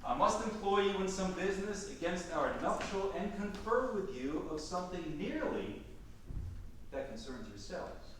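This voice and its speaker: A man playing a stern, authoritative duke, speaking formal verse in a deliberate, declamatory way in a staged reading. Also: high voice, big pitch swings, fairly bright tone. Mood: commanding. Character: stern, authoritative male duke pronouncing Athenian law. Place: echoey room at a theatre camp reading. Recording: very echoey room, distant mic, faint noise.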